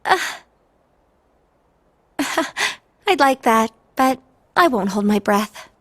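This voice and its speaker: A caring young game heroine with a high voice. She is sincere and expressive, her pitch moving in a lively way. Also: drawn-out words, exaggerated voice. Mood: teasing. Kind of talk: video game cutscene acting.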